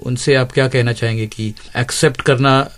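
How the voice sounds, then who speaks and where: Male radio interviewer, average pitch. Courteous, slightly halting questions in a conversational style. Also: steady tone, fairly bright tone. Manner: polite, hesitant questioning with brief acknowledgements.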